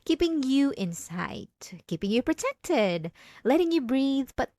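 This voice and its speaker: A woman playing a possessive, obsessive wife in an intimate ASMR roleplay, pleading and defensive, coaxing with halting, stammered self-corrections. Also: high voice, sing-song, warm tone, no room sound, clean studio sound. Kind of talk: ASMR roleplay drama, intimate monologue to listener.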